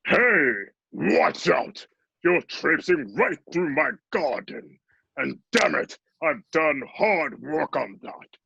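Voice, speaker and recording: Cranky spirit gardener for a male actor: very gravelly, husky, slow and swooping, dull lo-fi sound. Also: fairly high pitch, lively intonation, sharp tone, no echo, exaggerated voice. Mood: irritated. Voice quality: raspy, gravelly. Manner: irritable, cursing. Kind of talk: radio drama acting.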